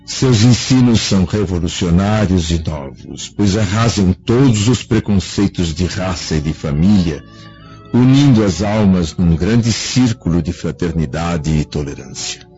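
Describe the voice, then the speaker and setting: An actor playing a remorseful aging Roman senator in a radio drama, solemn and declamatory, with a husky voice. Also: male voice, deep voice, expressive, unhurried, warm tone. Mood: solemn wonder. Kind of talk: Brazilian radio drama acting. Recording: dull lo-fi radio drama recording, music.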